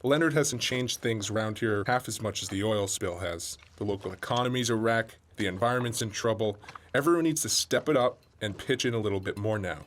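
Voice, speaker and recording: A man acts a weary but kind husband being interviewed in a radio comedy drama. His voice sits fairly low, plain and matter-of-fact with a grumbling edge, over slight background noise. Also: brisk, natural voice. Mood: resigned. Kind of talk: mock documentary interview.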